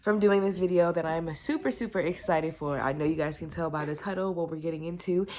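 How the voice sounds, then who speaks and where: A woman's voice: low-pitched, a little fast, darkish tone. Nasal young woman with a cold. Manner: chatty, upbeat, lots of fillers. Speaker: vlogger. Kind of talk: casual chat.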